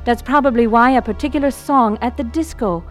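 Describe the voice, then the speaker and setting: A young woman with an Israeli accent tells her own story in an earnest, reflective voice. Her voice sits high. Soft music plays faintly behind her. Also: a little fast. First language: Hebrew. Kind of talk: radio drama narration and acting.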